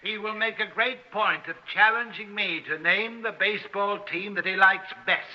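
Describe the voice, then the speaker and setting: A man's voice: sits high, normal speed, lightly acted. An actor playing an arrogant male scientist who lectures precisely and pompously in an old-time radio drama. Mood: smug, lecturing. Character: arrogant male scientist coolly presenting his findings. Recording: dull, band-limited old radio recording.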